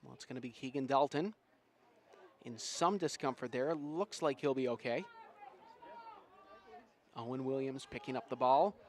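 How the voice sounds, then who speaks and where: A man doing live, excitable play-by-play commentary on a rugby sevens match. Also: mid-range voice, normal speed, pauses often, a faint crowd. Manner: excitable, builds to shouts at big plays.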